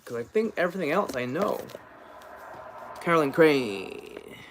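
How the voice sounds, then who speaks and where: A man's voice: a little high, lively intonation, measured pace, noisy background. A man chatting casually and hesitantly as he reviews books, often trailing off and rephrasing mid-thought. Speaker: book reviewer hosting a live video. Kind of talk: casual rambling chat to viewers.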